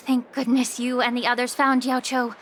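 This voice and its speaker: A woman voicing a composed, sly healer who is gently commanding, in a video game, poised and teasing. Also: a little high, flat delivery, moderate pace, slight background noise, close to an everyday voice. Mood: knowing.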